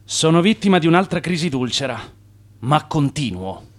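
A wily, driven swindler in a radio drama. His voice is fairly high and very animated, swinging widely as he orders and narrates with theatrical authority. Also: moderate pace. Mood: dramatic narration. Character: driven, wily immigrant swindler, adult man. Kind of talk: radio play acting and narration.

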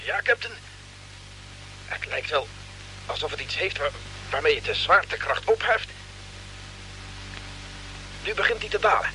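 A man's voice: fairly high pitch, animated, a little slow, long pauses, sharp tone, low-quality recording. A male actor playing a dutiful spaceship crewman reporting to his captain in a radio play. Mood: tense reporting. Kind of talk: mid-century radio play acting. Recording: old radio recording with faint hum.

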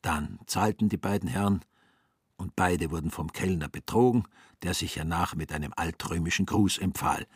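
An actor as the dryly wry, husky-voiced narrator of a satirical radio drama, reading literary prose. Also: male voice, low voice, moderate pace, no echo, studio quality. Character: deep, husky, dry storyteller of a satirical radio play.

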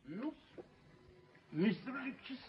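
A fussy, mannered gentleman in a muffled old radio drama. His fairly high voice swoops dramatically as he hesitates, soothes and moralizes politely. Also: sing-song, slow, heavily acted. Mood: mild coaxing. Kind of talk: theatrical radio play acting. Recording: muffled, noisy 1970s radio recording.

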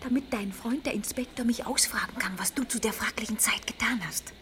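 A woman's voice: fairly high pitch, brisk, fairly bright tone, natural voice. Actress as a cool, teasing woman accomplice, noisy background. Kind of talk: German crime radio drama acting. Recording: noisy station ambience behind voice.

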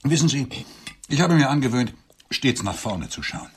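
A man's voice: medium pitch, big pitch swings, normal speed, noisy background, lightly acted. An actor playing a lonely, grandiloquent middle-aged businessman wooing on a blind date in a radio drama, with florid, theatrical phrasing. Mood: pompous, eager.

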